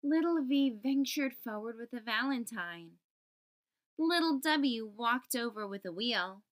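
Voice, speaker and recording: A woman hosting storytime, reading a children's picture book aloud and enunciating deliberately for young listeners. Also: fairly high pitch, expressive. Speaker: storytime host.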